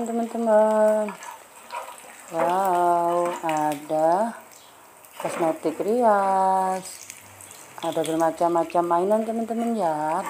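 A woman's voice: on the low side, dark tone, slight background noise. A woman vlogging a walk through a toy stall, filming on a handheld phone and exclaiming over each toy to her viewers. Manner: enthusiastic, repetitive exclamations addressing friends. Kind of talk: toy shop walkthrough vlog. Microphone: handheld phone camera.

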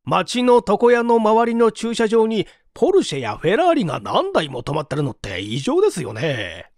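A man's voice: sits high, huge pitch swings, moderate pace, no echo, studio quality, heavily acted. A man reading the part of a chatty, amusing neighborhood barber making conversational small talk in an audiobook. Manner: talkative, conversational storytelling. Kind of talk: audiobook character dialogue.

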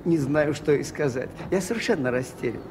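Radio drama: male actor for mild priest sleuth, higher male register, courteous, hesitant, inquisitive; slight background noise. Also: a little fast, natural voice. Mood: flustered. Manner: courteous, hesitant, gently questioning. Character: polite, inquisitive, mild-mannered priest detective. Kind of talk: Soviet radio play acting. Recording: faintly noisy 1980s radio recording.

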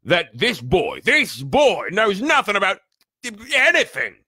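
Man performing a booming, gruff giant, loud and emphatic. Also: big pitch swings, brisk, no room sound, studio quality, heavily acted. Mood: outraged, thundering. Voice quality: booming, growling. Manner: blunt, rustic speech, emphatic. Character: huge, gruff, hot-tempered but kindly giant man.

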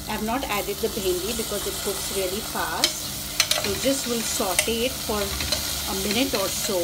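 A woman's voice: mid-range voice, heavy background noise. A woman with an Indian accent giving casual step-by-step recipe narration as a cooking channel host.

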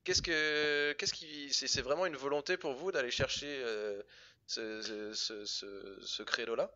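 A man's voice: mid-range voice, unhurried, bright, forceful tone. A man in his thirties hosts a casual live-streamed interview. His way of talking is informal and joking. The audio is a bit dull and lo-fi, as if from a computer on a video call. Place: indoor room, video call.

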